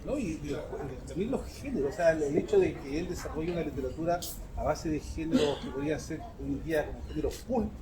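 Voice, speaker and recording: A man speaking as a guest in casual conversation, haltingly repeating phrases as he explains. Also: fairly high pitch, a crowd in the background, poor audio. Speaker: guest, book project creator.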